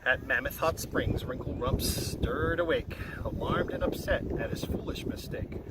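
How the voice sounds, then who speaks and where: A man reading a rhyming children's story aloud in an expressive, singsong way. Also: fairly high pitch, moderate pace. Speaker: storybook reader. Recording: very noisy, windy, distant-mic recording.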